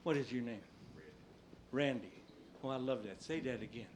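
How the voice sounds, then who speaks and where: A middle-aged man with a high, squeaky voice telling comic stories live, animated and swinging between hushed asides and loud exclamations. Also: moderate pace. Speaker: stand-up comedian. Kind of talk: live comedy storytelling to an audience. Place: live stage before an audience. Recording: live stage recording, noisy background.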